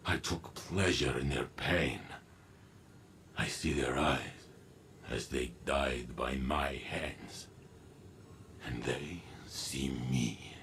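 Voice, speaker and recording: Slow, deep, weary alien warrior. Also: male voice, a bit bright, a little hiss or hum, exaggerated voice. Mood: haunted, remorseful. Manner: slow, grave, deliberate, halting. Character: weary, remorseful alien warrior leader, male, solemn and protective. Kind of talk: dramatic game cutscene acting.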